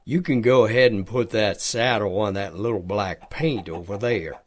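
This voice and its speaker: A man playing a kindly, burly older farmer in an audio drama, gently insistent in manner. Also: fairly low pitch, normal speed, natural voice. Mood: kindly, firm. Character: kindly burly farmer, father of grown son.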